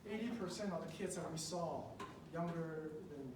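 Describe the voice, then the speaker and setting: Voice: mid-range voice, moderate pace. Echoey, impassioned public speaker from Taiwan. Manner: emotive, emphatic, repeating phrases for effect. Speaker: public speaker at a school board meeting, treats patients. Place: indoor public meeting room. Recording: distant mic in echoey, noisy meeting room.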